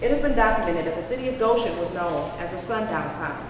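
A woman's voice: on the low side, lively intonation, quick pace. A woman reading a formal prepared speech to a convocation. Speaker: presenter at a convocation. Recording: very echoey, noisy hall from far off.

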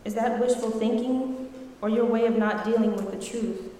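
A woman's voice: a little high, steady tone, normal speed, warm tone, slight background noise. A woman reciting her own spoken word poem with impassioned, emphatic rhetoric at a convocation. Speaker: student poet. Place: large echoey hall. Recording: echoey hall with long reverb.

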